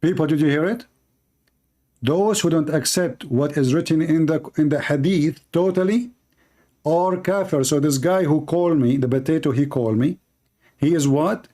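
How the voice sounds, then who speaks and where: A man's voice: fairly high pitch, normal speed, dark tone. A man whose first language is Arabic, hosting a polemical religious commentary in emphatic, repetitive English. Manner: emphatic, repetitive, non-native phrasing. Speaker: religious debate host.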